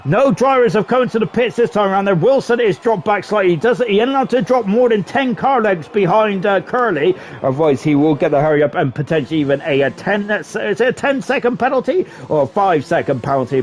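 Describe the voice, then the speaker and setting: Fast-talking male race commentator. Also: on the high side, a little mellow. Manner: chatty, frequent tag questions. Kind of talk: live sim racing commentary.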